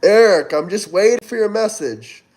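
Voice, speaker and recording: A man's voice: high-pitched, lively intonation, normal speed, a little mellow, low-quality recording. A man in his twenties from Florida, hosting a casual livestream and answering viewer chat as he reads it out. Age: 20s. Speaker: livestream host.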